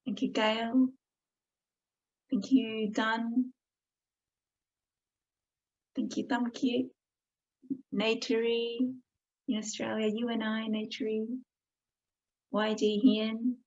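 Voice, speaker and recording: A woman's voice: fairly high pitch, flat delivery, takes it slowly, long pauses, darkish tone. Gracious woman hosting, dull lo-fi sound. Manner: polite, gracious, effusive thanks. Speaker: MC and host of an online Buddhist event. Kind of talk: warm hosting with live chat shout-outs. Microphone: online video call. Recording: dull, lo-fi online stream audio.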